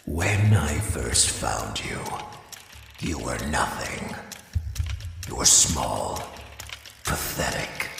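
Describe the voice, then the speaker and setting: Menacing digital rabbit villain, video game, extremely slow ominous delivery, drenched in reverb over loud music. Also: male voice, extremely low pitch, big pitch swings, gravelly, full character voice. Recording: cavernous long reverb under music.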